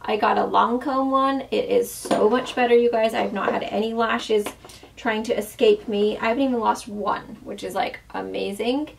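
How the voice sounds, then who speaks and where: A woman's voice: on the high side, moderate pace, vocal fry, far from the mic, slight background noise. A woman chatting through a makeup tutorial as a former makeup artist, talking in a casual way full of fillers, losing her voice so that it often cracks.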